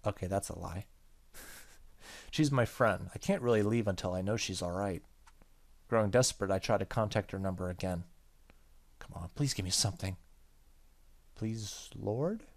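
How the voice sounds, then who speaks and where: A man's voice: fairly low pitch, moderate pace, pauses often. A man hosting a gaming video, reading story narration aloud and adding casual, joking asides. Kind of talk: let's play commentary, reading game narration aloud.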